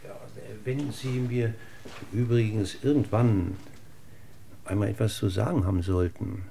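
An actor playing a courteous, measured, persistently probing police inspector in a 1960s German radio play. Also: male voice, fairly low pitch, fairly even intonation, vocal fry, warm tone. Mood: courteous, pointed. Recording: noisy 1960s radio recording, clicks.

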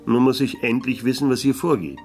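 An actor playing a laconic, disillusioned private detective who narrates and speaks in a German radio play. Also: male voice, medium pitch, fairly quick, a little mellow, faint music behind, natural voice. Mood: resolved, wry. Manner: laconic, dry, world-weary. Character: wry, disillusioned male private detective narrating his case.

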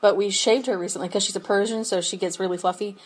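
A woman's voice: mid-range voice, fairly even intonation, quick pace, husky. A young woman co-hosting a podcast over a remote call, chatting casually and giggling often, with lots of fillers. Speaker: podcast co-host. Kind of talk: casual chat.

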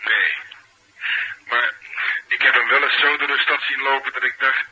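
A man playing a gruff, sceptical, hard-drinking policeman in a radio drama, blunt and dismissive, with a Netherlands Dutch accent. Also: mid-range voice, fairly even intonation, normal speed, pushed and bright, low-quality recording. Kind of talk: naturalistic radio drama dialogue. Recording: faint background noise.

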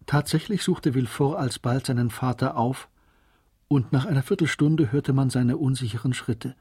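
A man narrating a literary radio drama in German, in a scripted storytelling style with a soft-edged voice. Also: mid-range voice, moderate pace, husky.

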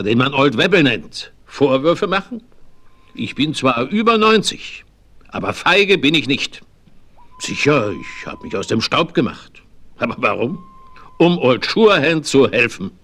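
A man's voice: mid-range voice, fairly bright tone. An actor playing a proud, blustery frontiersman over ninety in a western radio play, blunt and colloquial.

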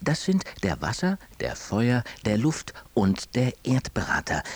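An actor playing a solemn, excited live commentator at a state ceremony in a radio play, with a husky, hushed voice. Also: male voice, fairly low pitch, a little slow, no room sound, heavily acted. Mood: reverent excitement.

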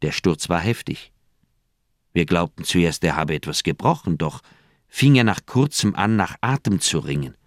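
An actor playing a grave older doctor in a German radio play, recounting a haunting memory in measured, literary phrases with dramatic pauses. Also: male voice, deep voice, lively intonation, no echo, clean studio sound. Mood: uneasy, grave.

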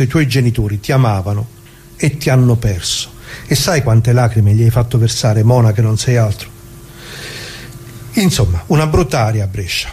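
An actor playing an anguished, plain-spoken ordinary man who muses haltingly and repeats himself in a theatrical radio monologue. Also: medium pitch, dark tone, husky, natural voice. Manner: halting, musing, rhetorical questions, repeats himself.

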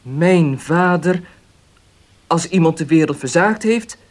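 A young nephew with a fairly high voice for a man. He is shaken and disbelieving, questioning and stumbling over his words in radio drama style. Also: expressive, slow. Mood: shaken, agitated. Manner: stammering, questioning.

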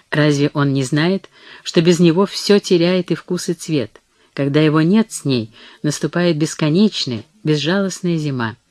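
A narrator giving a measured, even reading of a historical novel as an audiobook. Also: mid-range voice, dry recording.

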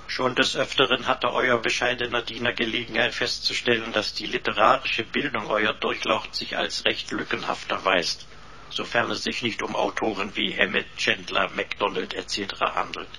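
An actor playing a pedantic computer butler in a radio play, servile in wording yet snootily mocking, pompous and over-formal. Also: male voice, lowish voice, fairly even intonation, moderate pace, sharp tone, slight background noise. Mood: smug lecturing.

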